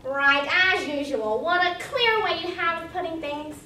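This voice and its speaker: Stage role: moralizing old Duchess, piercingly high, singsong and affectionate, distant in a noisy, echoing room. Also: female voice, bright, forceful tone. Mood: preachy, pleased. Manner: drawn-out, singsong, gushing delivery. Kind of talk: readers theater performance.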